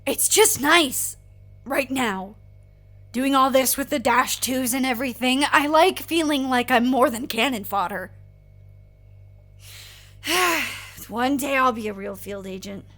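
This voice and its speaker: Young female agent, high-pitched, earnest and unsure of herself, informal and emotional delivery for audio drama. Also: a little slow, pauses often, fairly bright tone, faint music behind. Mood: wistful. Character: young woman agent, insecure, earnest, fond of creatures. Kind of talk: naturalistic audio drama acting.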